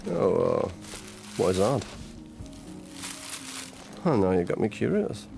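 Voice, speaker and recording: An actor playing a wry, easygoing, protective supernatural detective who teases casually in an audio roleplay. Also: male voice, on the low side, very animated, takes it slowly, vocal fry, over music, exaggerated voice. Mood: teasing, easygoing. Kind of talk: ASMR audio roleplay acting.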